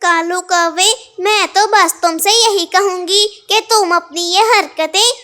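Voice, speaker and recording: Small female cartoon bird, woman performer: piercingly high, bright, sensible and reproachful. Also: unhurried, heavily acted. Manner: admonishing. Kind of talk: Hindi kids' cartoon dubbing.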